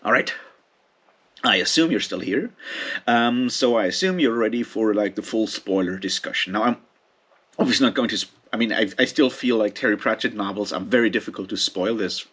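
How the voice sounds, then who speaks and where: A man with a slightly low voice talks through a book in a casual, unscripted way. He hosts informally, feeling his way through rambling, hesitant phrases. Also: a bit bright. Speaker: host of a book readalong.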